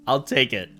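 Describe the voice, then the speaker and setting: A man streaming a video game, chatting casually with viewers in an animated, laughing, self-deprecating way. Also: mid-range voice. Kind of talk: casual gameplay commentary.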